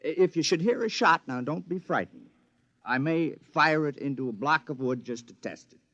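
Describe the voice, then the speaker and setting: An actor playing a scheming male robot double of a husband in a 1950s radio play, smooth and falsely reassuring, in a dull old recording. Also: a little high, lively intonation, moderate pace, a bit bright. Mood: scheming calm. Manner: smooth, falsely reassuring, then coldly threatening.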